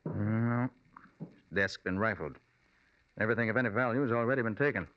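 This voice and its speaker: An actor playing a confident, protective private detective in a brisk, commanding 1940s radio drama style. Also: male voice, fairly low pitch, natural voice. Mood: intent, deductive. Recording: muffled old-time radio recording.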